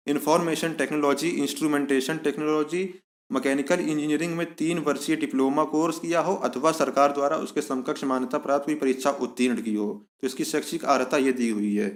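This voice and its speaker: Male exam-prep presenter, fairly high pitch, instructive read-and-explain delivery with repeated key points. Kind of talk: informational explainer, reading out and explaining a notice.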